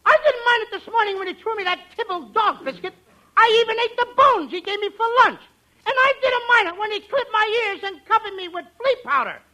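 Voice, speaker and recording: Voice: very high voice, expressive, a little fast, bright, forceful tone, heavily acted, rough recording. An actor playing a mischievous, whiny little boy who confesses with mock innocence in a 1940s radio comedy. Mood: whiny, aggrieved.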